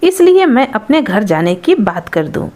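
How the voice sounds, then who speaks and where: A woman voicing a greedy, sly thief posing as a smooth-talking holy man in a folktale. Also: on the high side, very animated, measured pace, dark tone. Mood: scheming.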